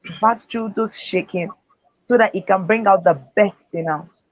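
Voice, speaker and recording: A woman's voice: mid-range voice, moderate pace, no echo. A woman speaker and author giving earnest, faith-driven motivational answers in an interview. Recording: dull, dry lo-fi sound.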